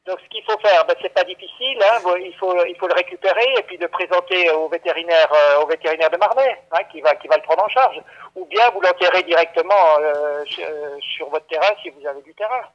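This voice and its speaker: Male clinic employee, high-pitched for a man, muffled phone sound; halting, repetitive, patient explanations in unscripted conversation. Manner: hesitant, stammering, repeating himself, patiently explaining. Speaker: veterinary clinic staff taking a call. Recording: dull, lo-fi phone line.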